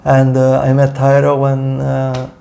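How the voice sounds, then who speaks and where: A man's voice: medium pitch, monotone, a little slow, creaky, dark tone. A young man from Italy with an Italian accent, co-hosting a casual podcast chat in loose, broken English. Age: young adult. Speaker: podcast co-host.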